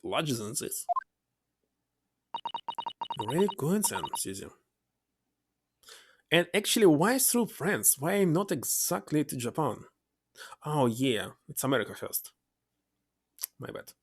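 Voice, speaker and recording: A man's voice: fairly high pitch, big pitch swings, slow, pauses often, husky. Chatty man streaming a game. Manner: chatty, reads game dialogue aloud. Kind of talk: casual game commentary. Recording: voice under loud game music. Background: loud game music.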